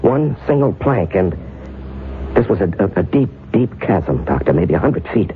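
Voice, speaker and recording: Jittery, stammering writer, low and lively; tinny, hissy radio sound. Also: male voice, moderate pace. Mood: anxious. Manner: nervous, stammering. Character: frightened male writer who feels followed. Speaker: novelist. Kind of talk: 1970s radio drama acting. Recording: lo-fi radio broadcast with hiss.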